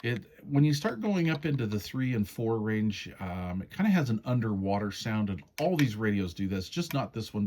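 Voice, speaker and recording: A man's voice: on the low side, darkish tone. A man giving a casual, hands-on product walkthrough in a folksy, step-by-step, conversational way. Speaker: radio reviewer demonstrating menu settings.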